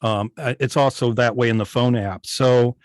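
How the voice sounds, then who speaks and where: A man with a mid-pitched voice talks casually as an interview guest. He is halting and often searches for words, and the sound is dry with little room in it. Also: fairly even intonation, dry recording. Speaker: guest, internet old-time radio station operator. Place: indoors on a video call.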